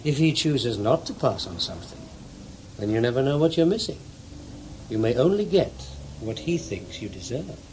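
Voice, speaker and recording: A male actor as a blunt intelligence investigator in a radio spy drama. He is dogged and insistent, with clipped, probing delivery at a middling pitch. Some noise sits behind the voice. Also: very animated, moderate pace, a little mellow, close to an everyday voice, poor audio. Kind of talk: radio drama acting, dialogue and first-person narration.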